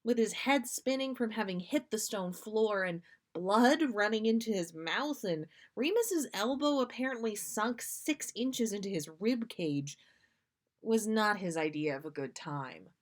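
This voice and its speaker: A woman's voice: medium pitch, lively intonation, measured pace. A woman reading fiction aloud as a storyteller and podcast host.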